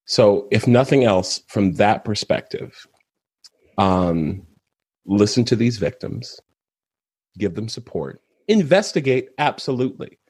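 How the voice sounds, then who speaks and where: Husky man in his thirties. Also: lowish voice, animated, slow pace, a little mellow, no echo. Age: 30s. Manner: earnest, laughs easily. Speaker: guest. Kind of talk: casual conversation.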